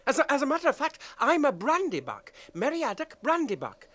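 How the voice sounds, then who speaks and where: A man's voice: extremely high pitch, wildly swooping pitch, a little fast, a bit bright, full character voice. An actor in a radio drama playing a friendly, curious and chatty young male hobbit.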